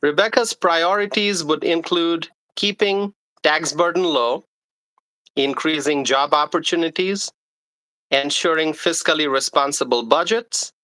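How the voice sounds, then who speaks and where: A man's voice: on the high side, a little slow, sharp tone, low-quality recording. A man hosting a live stream, formally reading out his guest's introduction with small stumbles.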